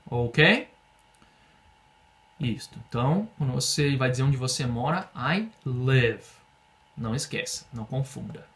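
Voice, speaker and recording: A young man from Brazil teaching an English lesson in Portuguese, explaining patiently and having students repeat phrases slowly. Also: mid-range voice, expressive, fairly bright tone. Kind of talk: online language lesson.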